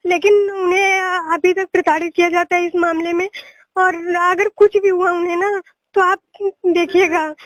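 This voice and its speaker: A woman's voice: extremely high pitch, level tone, moderate pace, dry recording. A young woman making an insistent, aggrieved complaint over the phone and repeating her points. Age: young adult. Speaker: caller, a police officer's daughter. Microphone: telephone call. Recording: recorded phone call.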